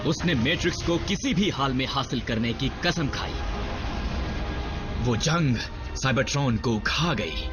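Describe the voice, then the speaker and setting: A man playing a grave veteran robot soldier in a dubbed cartoon, telling war history in a measured, solemn way. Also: medium pitch. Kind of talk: dubbed animated series dialogue and narration. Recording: muffled sound with loud dramatic music.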